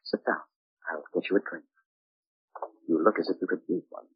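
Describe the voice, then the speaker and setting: An actor in an old-time radio drama playing a bitter, paranoid murderous husband, sardonic, menacing and theatrical. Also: male voice, deep voice, normal speed, a little hiss or hum, close to an everyday voice. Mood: brooding, sardonic. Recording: dull, echoey old radio recording.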